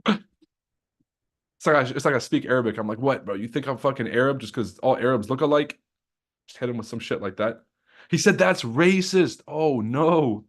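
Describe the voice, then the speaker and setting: A man's voice: medium pitch, normal speed. A young man hosting a trading livestream, chatting loosely with his chat, joking and swearing freely.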